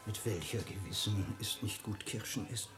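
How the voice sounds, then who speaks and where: An actor playing a loyal, guarded private secretary in a radio drama, laconic, measured and correct. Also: male voice, lowish voice, over music, natural voice. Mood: discreet, amused. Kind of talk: German radio drama acting.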